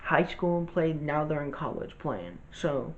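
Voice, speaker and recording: A man's voice: on the high side, expressive, measured pace, highs cut off. A young man telling a casual storytime monologue to his viewers, rambling and informal, full of restarts and fillers. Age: young adult. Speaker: vlogger.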